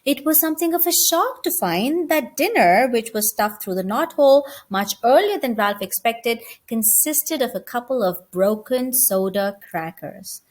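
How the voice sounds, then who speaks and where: A woman reading a children's story aloud as an expressive narrator. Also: on the high side, a little slow, hardly any pauses.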